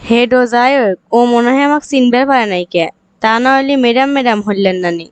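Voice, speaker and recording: Woman voicing a high-pitched, scornful cartoon ex-girlfriend. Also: quick pace, natural voice. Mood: scornful musing. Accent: rural Bangladeshi. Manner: scolding, sarcastic, accusatory. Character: scornful young female job interviewer, bitter ex-girlfriend. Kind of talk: cartoon comedy dialogue.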